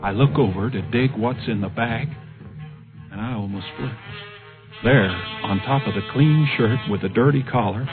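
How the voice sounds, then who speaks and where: A man's voice: medium pitch, natural voice. An actor playing a cool young male beatnik drifter who narrates in laid-back jive slang, in a 1950s radio drama. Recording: tinny old radio broadcast.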